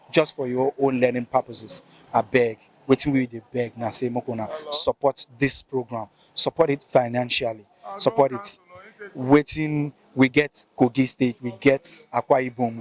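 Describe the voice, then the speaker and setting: Nigerian male host, mellow tone, probing field interviewer. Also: mid-range voice, poor audio. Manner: probing, repeats answers back, mixes Pidgin and Igbo. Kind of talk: field interview, casual questions.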